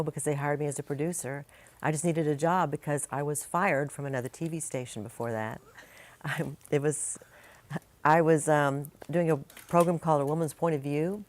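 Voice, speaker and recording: A woman's voice: low-pitched, darkish tone. An older woman, a longtime news anchor, chatting and telling stories in an interview. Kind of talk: casual interview talk.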